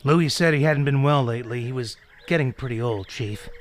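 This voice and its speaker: A man's voice: medium pitch, fairly quick, a little hiss or hum, lightly acted. A man playing an uneasy, curt secretary in an audio mystery. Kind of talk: audio drama acting of a 1930s mystery.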